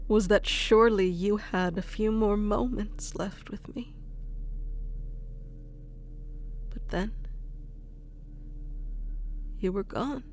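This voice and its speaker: A woman's voice: mid-range voice, a little hiss or hum. A woman voicing an obsessive, clingy and powerful female kitsune familiar in an intimate, theatrical ASMR roleplay. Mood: grieving, hushed. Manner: intimate, theatrical, swinging between hushed and pleading.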